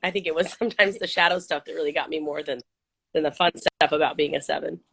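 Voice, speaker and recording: A middle-aged woman from Central California, a little hoarse, talking casually and upbeat with lots of ums and restarts in an interview. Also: sits low, steady tone, quick pace, fairly bright tone, poor audio. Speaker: interview guest, nonprofit CEO. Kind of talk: casual video-call interview.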